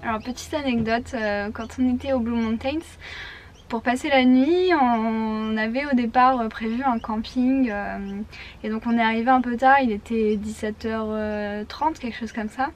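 A young woman telling a story to the camera from inside a parked camper van, chatty and halting, full of fillers. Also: fairly high pitch, fairly even intonation, soft background music. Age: young adult. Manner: chatty, hesitant with many fillers. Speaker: travel vlogger. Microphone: camera at arm's length.

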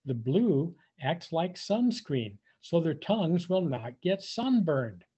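An older man reading a picture book aloud to young children, with drawn-out emphasis and playful questioning. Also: fairly high pitch, sing-song, warm tone, husky. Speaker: volunteer storybook reader for young children. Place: indoors, on a video call. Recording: dull, muffled webcam audio.

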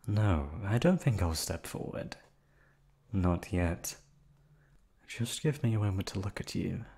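A man's voice: low voice, lively intonation, unhurried, gravelly, dark tone, breathy edge, exaggerated voice. A man voicing an obsessive male yandere hotel receptionist in an ASMR drama, silky and polite, sliding into menace and close whispers. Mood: whispery. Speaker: voice actor. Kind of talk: ASMR roleplay audio drama.

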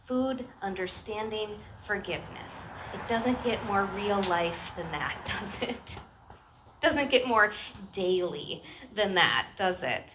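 A woman's voice: medium pitch, fairly bright tone, low-quality recording. A middle-aged American woman pastor giving an earnest, conversational sermon with informal asides and rhetorical questions, speaking into a headset microphone. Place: outdoor church service in a garden. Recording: outdoor live recording with ambient noise.

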